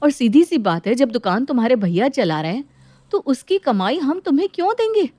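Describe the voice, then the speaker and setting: A woman's voice: sits high, sing-song, fairly quick, mellow, dry recording. A woman voicing an indignant married sister-in-law who protests in defence of her household in a dramatized moral story.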